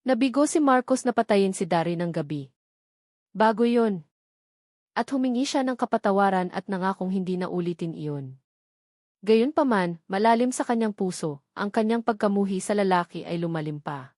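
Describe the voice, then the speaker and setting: A woman's voice: medium pitch, lively intonation, moderate pace, a little mellow, dry recording, clean studio sound. A woman reading a scripted novel as an audiobook narrator, keeping narration and dialogue in the same voice.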